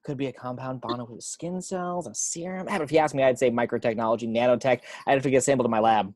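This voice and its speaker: A man's voice: mid-range voice, fast talker, close to an everyday voice. A man playing a young, eager, nervous superhero detective who stammers and rattles off words in a table read. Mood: eager, quick. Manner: excitable, stammering, rattling off theories.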